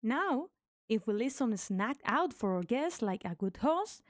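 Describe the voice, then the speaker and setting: Woman playing a high, cocky mercenary girl. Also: very animated, a little slow, dry recording, studio quality. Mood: mocking, gleeful. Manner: sarcastic, playful, mock-polite. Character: cocky, taunting young female demon mercenary bomber. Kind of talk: amateur anime game fan dub.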